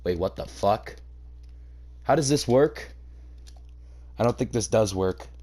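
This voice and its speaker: Animated man reading aloud with mocking commentary. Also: on the low side, slow. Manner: mocking, sweary, theatrically exasperated. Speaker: host reading a fan fiction aloud and reacting. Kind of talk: comedic reaction reading, narration broken by commentary.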